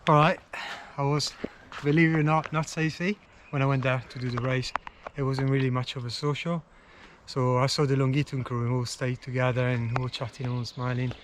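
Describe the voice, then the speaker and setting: A man's voice: mid-range voice, flat delivery, background noise. Italian-accented man talking on a run. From Italy. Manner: plain, halting English, laughs easily. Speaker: club runner, guest. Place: outdoors on a park trail.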